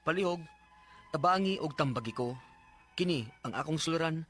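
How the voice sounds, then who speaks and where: A man's voice: a little high, expressive, normal speed, fairly bright tone, soft background music. A man acting an exasperated, short-tempered young father in a radio drama, with a Cebuano Filipino accent. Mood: aggrieved.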